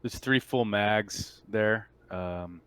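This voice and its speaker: A man speaking as game master, casually narrating a tabletop roleplaying game and pausing to think as he describes things. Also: on the low side, a bit bright, smooth.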